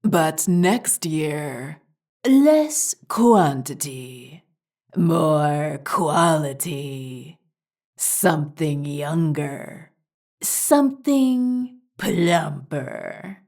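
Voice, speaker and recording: Casting: woman as a pompous monster made of sweets for a horror tale; whispery, dignified, drawn-out words, smugly greedy. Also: low-pitched, lively intonation, a little mellow, heavily acted. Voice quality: rustling, whispery. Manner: slow, deliberate, pompous. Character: dignified candy monster, self-satisfied and greedy.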